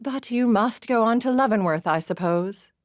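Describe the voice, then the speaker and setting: A woman voicing a poised young society woman who speaks in a sweet, deliberate, cultured way. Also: on the high side, lively intonation, no room sound, studio quality, voice pushed up, natural voice.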